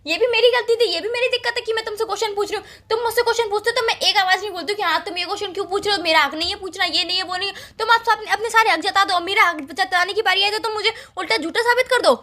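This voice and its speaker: A woman acting as a young, hurt girlfriend with a very high, bright voice. She nags and accuses in a plaintive, extremely quick stream of complaints, as in a heated argument. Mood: sarcastic, indignant. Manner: nagging, plaintive, rattling off complaints. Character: aggrieved, accusing young girlfriend in a lovers' quarrel. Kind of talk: heated relationship argument, recorded-call skit.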